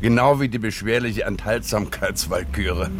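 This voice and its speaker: An actor playing a wry, amused investigator in a German radio drama, speaking in an urbane, ironic way. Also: male voice, on the low side, normal speed, heavy background noise, lightly acted. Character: wry, amused male investigator summing up a case.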